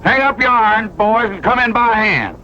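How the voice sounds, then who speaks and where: Folksy cowhand, rural western American accent, raised pitch, wheedling, talkative, faintly noisy old soundtrack. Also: male voice, animated, moderate pace, pushed and bright, dull audio. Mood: calling out. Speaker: actor. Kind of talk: mid-century western drama acting.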